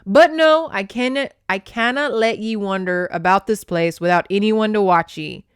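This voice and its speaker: A woman's voice: medium pitch, normal speed, smooth, no room sound, studio quality, natural voice. A woman putting on a stern Scottish clan chief. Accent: put-on Scottish. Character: stern flirty male Scottish clan leader.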